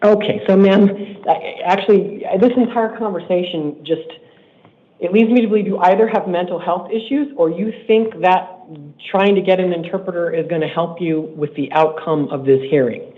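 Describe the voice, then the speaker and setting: A man acting as judge in a court hearing. He speaks in a stern, measured way and repeats himself when he is pressing a point. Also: high-pitched. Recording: tinny court livestream audio.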